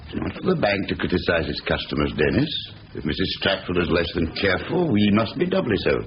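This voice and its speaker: A man's voice: sits low, sing-song, fairly quick. A man acting a fussy, pedantic middle-aged bank manager in a 1970s radio drama, officious and given to repeating his phrases. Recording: dull, faintly noisy 1970s radio recording.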